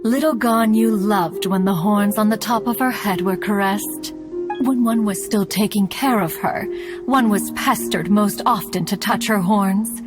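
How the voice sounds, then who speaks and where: A woman's voice: medium pitch, a little slow, lightly acted. A woman as a lofty ancient adeptus, music behind. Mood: fond, reminiscing. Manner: archaic, formal, lofty speech. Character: haughty, talkative, fond ancient female mountain adeptus. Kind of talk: video game voice acting.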